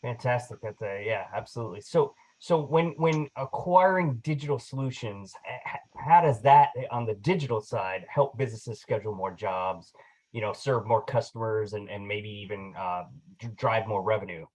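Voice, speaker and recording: A man's voice: on the low side, normal speed, a little mellow, poor audio. A man hosting a casual interview, chatty and upbeat, with many filler words. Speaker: interview host.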